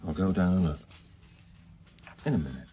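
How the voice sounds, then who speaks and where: An actor playing a middle-aged widower detective in a naturalistic radio drama, calm, dry and understated. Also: male voice, low voice, moderate pace, dull audio, natural voice. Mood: dry, reassuring. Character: steady, dry-humoured widower police detective.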